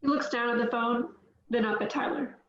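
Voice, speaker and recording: A woman reads the stage directions in a plain, matter-of-fact way. Her voice sits fairly high. The audio sounds roomy and muffled. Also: steady tone, brisk, fairly bright tone. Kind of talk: reading stage directions at a table read.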